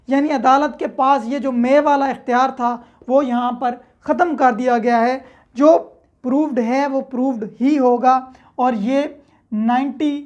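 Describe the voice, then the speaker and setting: Female lecturer, Pakistani accent, high voice, methodical step-by-step explainer who slows for read-out clauses. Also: a little mellow. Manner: reads clauses slowly in English, then explains them step by step. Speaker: law lecturer. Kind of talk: explanatory lecture mixing Urdu with English legal terms.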